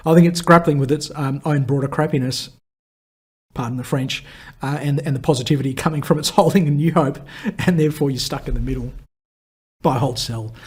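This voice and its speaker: Man, husky, hoarse from losing his voice, chatty, rambling, lots of fillers, mid-pitched. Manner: chatty and rambling, many fillers and restarts, quick laughs. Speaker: market analyst hosting a viewer Q&A. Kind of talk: live chart commentary answering viewer questions.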